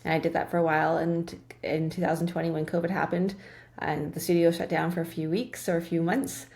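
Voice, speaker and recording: A middle-aged woman talks as a guest in a relaxed interview, her voice set low with a gravelly edge. She speaks quickly and earnestly, restarting phrases as she thinks aloud. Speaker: guest, nutrition practitioner. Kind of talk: casual interview chat.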